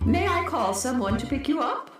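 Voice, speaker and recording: A woman's voice: sits high, very animated, normal speed. Woman playing a stern, echoing space governess. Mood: formal, scolding. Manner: stern, formal, prim. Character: stern, prim governess running time out. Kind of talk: children's audio drama acting. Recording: heavy echo, music behind.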